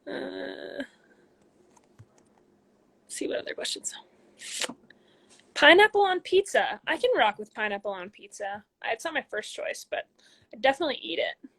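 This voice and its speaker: A woman's voice: high voice, very animated, unhurried, vocal fry, pauses often. Chatty young woman on a phone live. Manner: reads comments aloud, chatty, frequent ums, drops into soft asides. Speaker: athlete hosting a live Q&A. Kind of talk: casual live-stream chat answering viewer questions. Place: home room.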